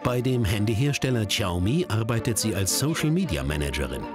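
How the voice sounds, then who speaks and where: A man's voice: fairly low pitch, sing-song, brisk. A man narrating a documentary in a scripted voice-over. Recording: narration over background music. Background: background music.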